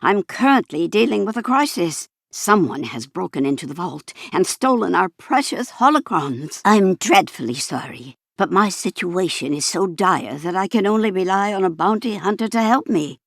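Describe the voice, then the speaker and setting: A woman's voice: medium pitch, lively intonation, normal speed, gravelly, few pauses, no room sound, studio quality. An actress voicing a prim, bookish, fussy and wry elderly female Jedi archivist in a video game, speaking formally and theatrically. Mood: worried, formal. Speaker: game character voice actor. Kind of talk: scripted video game character lines.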